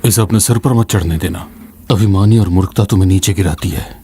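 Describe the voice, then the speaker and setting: An actor playing a wise, weary old scholar in a fantasy game dub, husky, solemn and reflective. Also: male voice, low voice, a little fast, mellow, a little hiss or hum. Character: wise, weary old male scholar mentoring a younger ally. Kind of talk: dramatic fantasy game narration.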